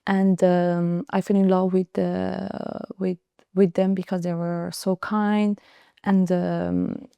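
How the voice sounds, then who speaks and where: A young woman in her twenties from Iran, a guest telling her story in an interview, speaking earnestly with many pauses and hesitations. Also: on the low side, creaky, dark tone, clean tone, dry recording, studio quality. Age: 20s. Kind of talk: personal interview in French and English.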